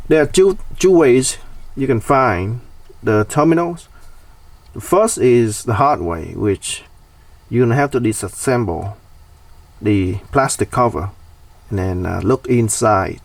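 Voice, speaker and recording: A man's voice: fairly low pitch, vocal fry. Man giving a DIY tutorial, slightly distant mic. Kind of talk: casual hands-on explainer.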